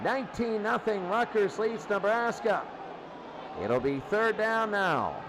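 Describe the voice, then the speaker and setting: A man's voice: sits high, expressive, a little slow, crowd noise, background noise. A man announcing football play-by-play as live sports commentary, in short clipped phrases.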